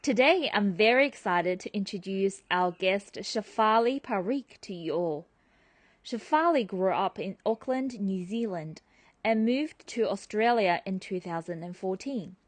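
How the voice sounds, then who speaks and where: A woman's voice: medium pitch, no echo. A woman hosting a podcast interview, reading upbeat scripted introductions and asking questions. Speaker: podcast host.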